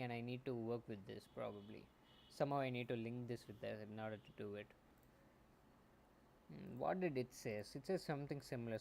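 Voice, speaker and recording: A man streaming as a game developer, thinking aloud while he codes, often muttering and trailing off into soft asides, on a quiet home mic. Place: home room. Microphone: desktop mic. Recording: faint background noise, low home mic.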